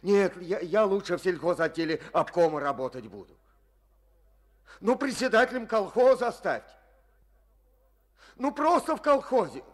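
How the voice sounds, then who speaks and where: An actor playing a blustering, wounded party official who pleads and grumbles in an old radio drama. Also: male voice, high voice, very animated, normal speed, long pauses. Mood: pleading. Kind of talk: 1950s Soviet radio drama acting.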